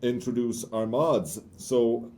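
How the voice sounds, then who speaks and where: A man's voice: mid-range voice, takes it slowly, warm tone. A man hosting a casual game-studio livestream, hesitant with many fillers and restarts. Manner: hesitant, lots of uhs and restarts. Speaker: community stream host. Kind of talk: casual livestream news chat.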